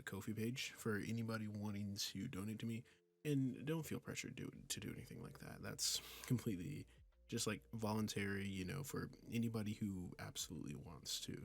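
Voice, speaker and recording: Low-pitched, husky, earnest man. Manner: earnest, informal, rambling. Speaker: audio roleplay creator. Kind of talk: casual chat to listeners.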